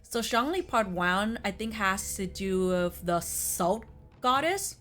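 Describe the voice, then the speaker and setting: A woman with a mid-range voice talks casually, like the host of a gaming video. Faint music plays behind her relaxed, conversational delivery. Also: medium pitch, slow pace. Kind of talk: casual chatty video game discussion. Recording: music playing behind the talk. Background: background music.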